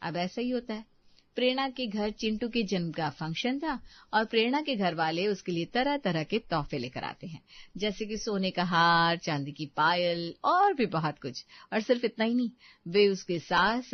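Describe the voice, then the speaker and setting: A woman's voice: medium pitch, dry recording, lo-fi sound. A woman storyteller reading scripted narration of a moral story.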